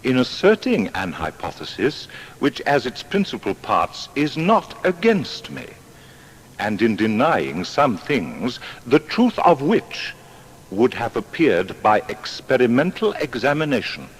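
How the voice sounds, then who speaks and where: An actor playing a proud, exacting seventeenth-century English natural philosopher, reading his papers in formal, deliberate diction. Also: mid-range voice, huge pitch swings, husky, full character voice. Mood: indignant, haughty. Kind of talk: dramatised reading of period letters and papers. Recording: long hall reverb, faint background noise.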